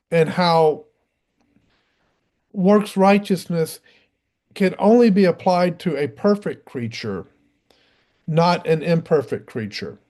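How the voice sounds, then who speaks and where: A man explaining theology off the cuff in a deliberate, didactic way, with halting pauses and a habit of clearing his throat. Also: on the high side, darkish tone. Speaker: religious teacher.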